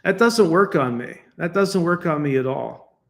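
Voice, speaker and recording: A man's voice: a little high, lively intonation, normal speed, gravelly. Man ranting in solo commentary. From United States. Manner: argumentative, defensive, laughs between points; conversational with fillers and restarts. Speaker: political commentator.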